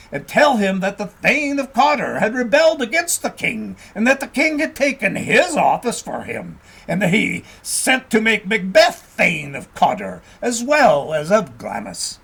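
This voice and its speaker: A man reading a story aloud as scripted narration, in a dramatic, expressive storytelling manner. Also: sits high, husky. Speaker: audiobook reader.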